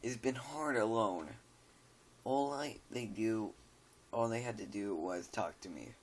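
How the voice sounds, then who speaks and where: A man reading out the diary of a lonely, disturbed man who killed his family, in a quiet, flat, brooding way. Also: on the low side, unhurried, slight background noise, natural voice.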